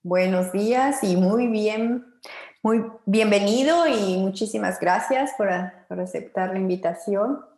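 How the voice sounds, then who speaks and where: A woman's voice: medium pitch, a little slow, a little mellow. A woman hosting a casual interview, speaking in a polite and welcoming way. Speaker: podcast host, interviewer. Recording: roomy echo.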